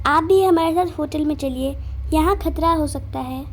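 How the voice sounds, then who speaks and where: A woman's voice: high voice, moderate pace. A woman voicing a worried, caring wife in a cartoon story. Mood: concerned.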